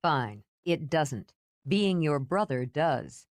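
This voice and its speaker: A woman narrator voicing a teasing, protective older brother who speaks in a dry, calmly pushy way. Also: low voice, slow, dry recording, exaggerated voice. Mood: stern.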